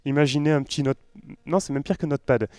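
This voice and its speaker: A man's voice: fairly high pitch, fairly even intonation, fast, a little mellow, no echo. A man with a southern French accent giving an informal tech talk to an audience, conversational and full of joking asides. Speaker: conference speaker, web developer.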